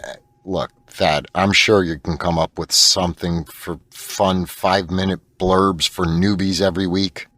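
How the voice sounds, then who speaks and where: Man playing deep-voiced blustering cartoon spaceship crewman. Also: steady tone, measured pace, a bit bright, no room sound. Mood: wry. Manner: blustering, mock-indignant, self-important. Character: grumbling, sarcastic bald spaceship crewman in cartoon comedy. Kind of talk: amateur comedic radio drama acting.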